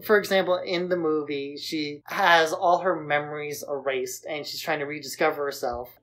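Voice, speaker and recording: A woman's voice: sits low, steady tone, moderate pace, rough recording. A woman co-hosting a podcast, giving blunt opinions on films in casual conversation. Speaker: podcast co-host. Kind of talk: casual opinionated film discussion.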